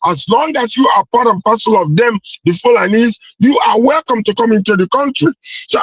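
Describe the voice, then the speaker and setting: A man's voice: sits high, fairly bright tone, no room sound, lo-fi sound. A Nigerian-accented man speaking as a panelist in a political discussion, emphatic and repeating his phrases.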